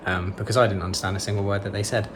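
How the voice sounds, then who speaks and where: A man vlogging in a relaxed, rambling way, full of ums and restarts. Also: fairly low pitch, rapid-fire, noisy background. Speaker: travel vlogger. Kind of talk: casual chat to camera.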